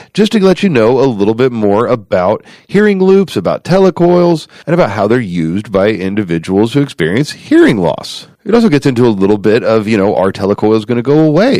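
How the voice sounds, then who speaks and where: A man hosting a podcast in a relaxed, chatty way, with filler words and repeats. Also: on the low side, no room sound, clean studio sound. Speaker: podcast host.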